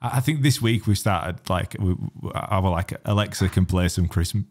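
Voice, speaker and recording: A man's voice: deep voice, normal speed, a little mellow, a little hiss or hum. A man co-hosting a podcast, asking cheeky questions and teasing his co-host in casual chat. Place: podcast studio.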